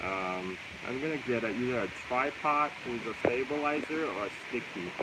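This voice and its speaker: Loud-voiced man chatting on a livestream. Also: medium pitch, moderate pace. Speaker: livestream host. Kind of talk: casual livestream chat. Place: roadside cafe by rice fields, outdoors. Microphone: handheld phone camera. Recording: loud outdoor noise.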